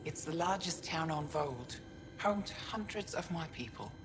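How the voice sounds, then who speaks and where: A woman playing a dignified, practical alien town steward in a video game, speaking in a formal, earnest, measured way. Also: sits low, animated, slight background noise. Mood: proud, resolute. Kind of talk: video game character dialogue.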